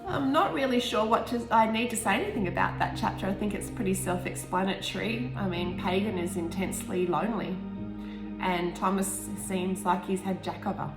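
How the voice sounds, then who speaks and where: A woman's voice: mid-range voice, brisk. Woman reading her novel in a roomy echo. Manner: stumbles over words and rereads phrases. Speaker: author reading own book. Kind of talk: audiobook-style narration with brief personal asides. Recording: roomy echo, faint music behind.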